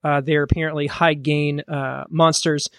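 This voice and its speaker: A man co-hosting a podcast in easygoing, rambling chat. His voice sits a bit high. The sound is dry and close, with no room around it. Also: moderate pace, no echo. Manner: relaxed, rambling with many fillers. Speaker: podcast co-host. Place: home studio during a live stream.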